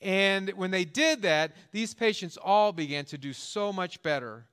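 High, bright-voiced man preaching. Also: expressive, unhurried, smooth. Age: 50s. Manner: conversational, joking, emphatic. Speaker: pastor. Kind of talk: sermon.

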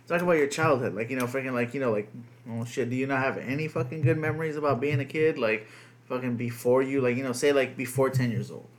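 Rambling, foul-mouthed young dad. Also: male voice, mid-range voice, quick pace. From United States. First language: Spanish. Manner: profane, rambling, heavy on fillers. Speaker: podcast co-host. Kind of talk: casual podcast chat.

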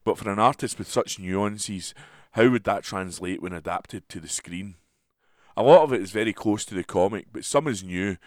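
A man's voice: low voice, dry recording, clean studio sound. A man volunteer reader reading a newspaper article aloud for listeners.

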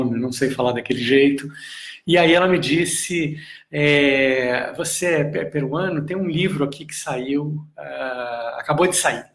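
A man, a breathing and meditation instructor, giving a reflective live talk with many pauses and restarts while answering viewer questions. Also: medium pitch. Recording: echoey room.

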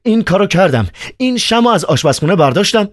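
A man reading aloud and voicing a frantic young male poet held in an asylum, his voice pushed high and shrill. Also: sing-song, no echo, clean studio sound.

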